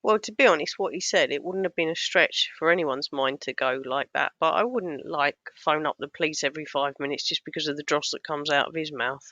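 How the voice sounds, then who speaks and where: A woman giving casual, scornful reaction commentary on a clip, a little hoarse as she loses her voice. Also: low-pitched, fairly quick, hardly any pauses, pushed and bright, no room sound. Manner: scornful, sarcastic, mocking. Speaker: YouTube commentator.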